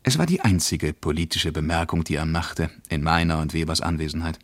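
A man playing a reflective first-person narrator recounting a journey in a radio play, with a measured, precise literary delivery. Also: a bass voice, dry recording.

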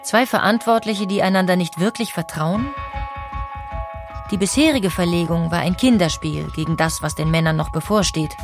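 A woman's voice: fairly low pitch, moderate pace, music in the background. Woman narrating a history documentary. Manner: clear, even storytelling with rhetorical questions.

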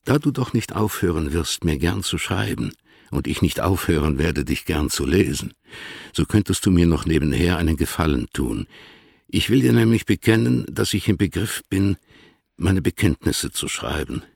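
A dignified older man of letters in a radio play. His low voice is gravelly and husky. He speaks in formal, deliberate phrases, fond yet instructive. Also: expressive, darkish tone, no room sound, clean studio sound, full character voice. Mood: courteous request. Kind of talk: literary radio drama, formal letter reading.

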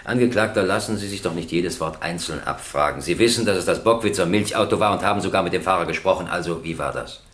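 An actor playing a stern, impatient presiding judge in a radio crime drama, questioning formally and insistently. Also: male voice, low voice, quick pace, hardly any pauses, fairly bright tone. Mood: impatient, pressing.

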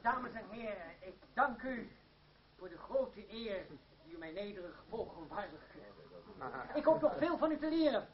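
A scheming, flowery stage rogue in a radio play, pitched fairly high. The sound is echoey and muffled. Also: male voice, animated, normal speed. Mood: flowery, self-assured. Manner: theatrical, flowery, florid. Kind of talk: radio drama acting. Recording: muffled, echoey old radio play recording, crowd noise.

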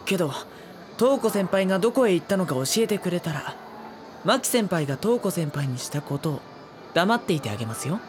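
Earnest young high school boy. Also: big pitch swings, a little fast. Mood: firm, accusing. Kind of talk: Japanese audio drama acting.

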